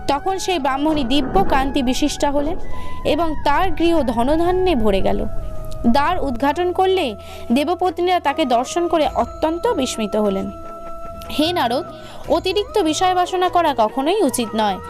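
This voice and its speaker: A woman reading a scripted religious tale as a storyteller, in a formal, measured way. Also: sits high, expressive. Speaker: devotional storyteller. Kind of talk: scripted religious narration for cartoon video. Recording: music under the voice. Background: background music.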